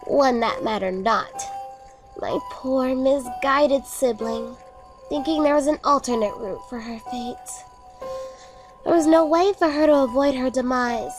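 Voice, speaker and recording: A woman performs a sinister little sister from a horror tale, high in pitch. She speaks with theatrical scorn and menace while music plays clearly behind her. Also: unhurried, low-quality recording. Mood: ominous, scornful. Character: sinister younger sister coldly recounting her sibling's doom. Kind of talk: dramatic horror monologue. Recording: music under the voice.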